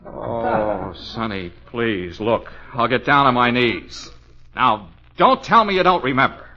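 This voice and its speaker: Casting: frazzled, sarcastic American businessman, old radio style, highly animated, muffled vintage sound. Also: slow pace. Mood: exasperated, sarcastic. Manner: stammering, exclaiming, sarcastic. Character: exasperated, flustered businessman boss with sarcastic bite. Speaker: actor in a radio comedy drama. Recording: dull old radio recording.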